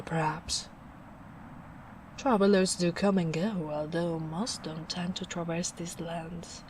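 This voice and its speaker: A big, gentle ogress, played by a young woman in a soft-spoken ASMR roleplay speaks in a low, slightly deep voice. She talks very slowly and soothingly, with a caring, protective warmth. Now and then she drops to breathy, near-whispered asides. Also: a little hiss or hum, low-quality recording. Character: gentle towering female ogre, caring and protective.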